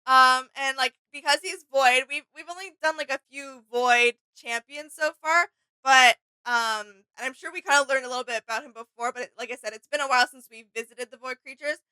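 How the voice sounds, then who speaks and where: Woman, high bright voice, smooth clear tone, casual reaction chat, agreeable and giggly. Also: brisk, no room sound, poor audio. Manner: agreeable, laughing often, hesitant ums. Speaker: reaction channel co-host.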